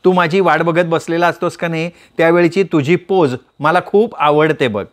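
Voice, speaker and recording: A man voicing a chatty, carefree young woman who teases her friend in quick banter in a read-aloud story. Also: a little high, fairly even intonation, natural voice. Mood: teasing. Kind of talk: storytelling with voiced characters.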